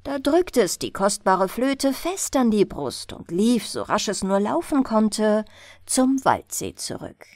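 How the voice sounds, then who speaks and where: A woman's voice: mid-range voice, slow, no room sound, clean studio sound. A woman reading a bedtime fairy tale expressively as an audiobook narrator. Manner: expressive storytelling.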